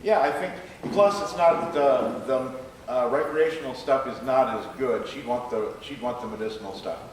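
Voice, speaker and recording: A man's voice: medium pitch, moderate pace. Distant, echoey man answering audience questions. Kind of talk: live Q&A after a staged reading. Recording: echoey room, distant mic, faint noise.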